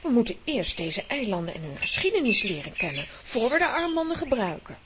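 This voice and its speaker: A woman's voice: on the high side, big pitch swings, brisk. An actress playing an earnest, concerned adventuring woman in a children's radio play, with noise behind her.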